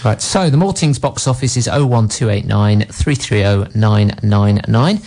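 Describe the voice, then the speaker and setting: A man's voice: fairly low pitch, expressive, moderate pace, darkish tone. A man with a cold, co-hosting a local radio film show and chatting through cinema listings. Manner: chatty, reads out listings with light laughs. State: has a cold. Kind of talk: casual radio chat.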